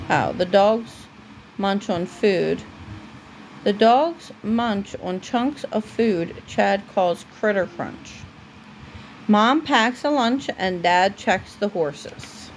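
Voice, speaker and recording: A woman reading a children's storybook aloud as a mother would at bedtime, with deliberate pauses. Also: medium pitch, animated, slight background noise, poor audio.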